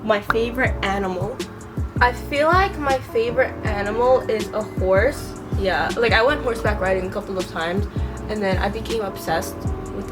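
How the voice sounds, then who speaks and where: A young woman vlogging while she does her makeup, rambling with lots of "like" and "um", recovering from being sick. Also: medium pitch. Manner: rambling, many fillers like "like" and "um". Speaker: beauty vlogger. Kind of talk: casual chat while doing makeup. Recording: clear background music.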